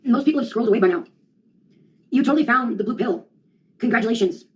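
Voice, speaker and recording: A young woman comedian giving a rambling monologue in a deadpan, ironic, slangy way. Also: fairly high pitch, fast, slight background noise, poor audio.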